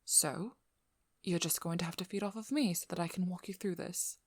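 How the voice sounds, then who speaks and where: A woman playing a stern, wry, protective vampire hunter in an intimate ASMR role-play, speaking in a measured, firm voice that often drops to a whisper. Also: on the low side, fairly even intonation, a little mellow, no echo, lightly acted. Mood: firm, explanatory. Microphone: close microphone.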